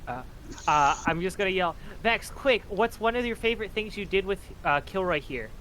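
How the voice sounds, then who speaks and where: A man's voice: high voice, animated, normal speed, bright, forceful tone, a little hiss or hum, rough recording. A man playing a tabletop roleplaying game, chatting casually as a player.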